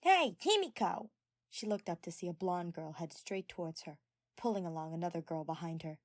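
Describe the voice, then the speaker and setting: Woman reading a story out loud, narrator style, really dry close sound. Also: fairly low pitch, steady tone, brisk, no room sound. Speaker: podfic narrator.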